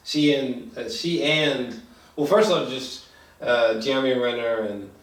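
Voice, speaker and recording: A man chatting casually about a film, halting and hesitant, circling back and swearing casually. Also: mid-range voice. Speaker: film review podcast co-host. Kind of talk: casual chat, film review. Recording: roomy echo, distant microphone.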